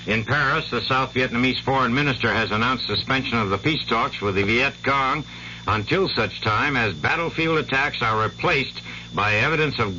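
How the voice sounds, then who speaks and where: A man's voice: mid-range voice, pushed and bright. A man reading a scripted 1970s radio news bulletin as a newsreader. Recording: muffled old radio broadcast, faint hiss.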